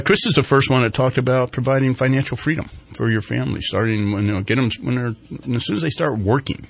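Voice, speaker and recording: A man's voice: fairly low pitch, gravelly, husky. A man hosting a local morning radio talk show, chatting casually with lots of fillers and restarts. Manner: rambling, with many fillers and restarts. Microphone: studio microphone.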